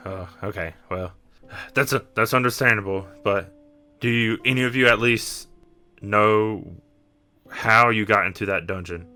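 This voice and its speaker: A man's voice: fairly low pitch, unhurried, sharp tone, soft background music. A man voicing a weary, kindly creature guide in a tabletop roleplay, sighing and matter-of-fact. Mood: concerned, patient.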